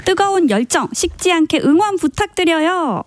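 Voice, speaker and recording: A woman with a high voice hosting a radio show, reading out listener messages and reacting warmly to them. Her voice is recorded dry and close, with no room sound. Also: lively intonation, normal speed, dry recording.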